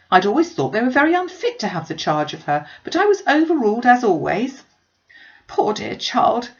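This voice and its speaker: Woman putting on a shrill, fretful wailing mother. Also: highs cut off. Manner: plaintive, over-emphatic lamenting. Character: fretful, self-pitying middle-aged mother, shrill and wailing. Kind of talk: dramatised audiobook reading of a classic novel.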